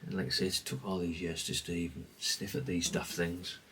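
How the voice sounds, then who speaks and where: A man's voice: sits low, flat delivery, fairly quick, bright, forceful tone, distant mic, a little hiss or hum. A man giving a casual, rambling, understated show-and-tell, speaking off the cuff. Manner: rambling, self-effacing, understated. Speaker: hobbyist presenter.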